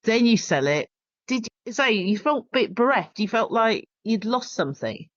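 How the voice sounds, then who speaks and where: A woman's voice: on the high side, sing-song, moderate pace, no echo. A woman hosting a podcast interview, encouraging and conversational with her guest. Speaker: podcast host. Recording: dry, dull lo-fi call audio.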